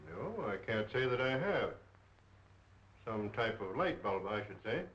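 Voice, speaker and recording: A man playing a stiff, guarded suspect answering police in a formal, deliberate way in a 1930s film. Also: lowish voice, big pitch swings, a bit bright. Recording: echoey, muffled, hissy 1930s film soundtrack.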